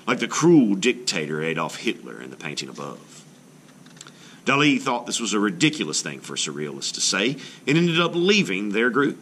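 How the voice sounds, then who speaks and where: A man reading a children's book aloud as an art teacher, in an expressive, explanatory way meant for children. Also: lowish voice, slight background noise.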